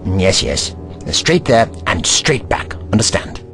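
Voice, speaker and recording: A man plays an eccentric, brainy time-travelling scientist in an audio drama. His low voice swings widely as he muses in wordy, gently pedantic phrases, kindly yet testy, with faint music behind. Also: sing-song, slow pace, exaggerated voice. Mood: kindly, fussy.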